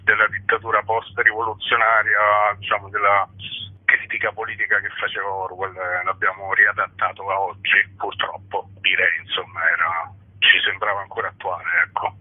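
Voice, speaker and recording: A man's voice: lowish voice, flat delivery, moderate pace, husky, no echo. A man, a playwright and director, explaining his show at length in a radio interview over the phone, often hedging his words. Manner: talkative, hedging with "diciamo". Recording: tinny phone line, dry.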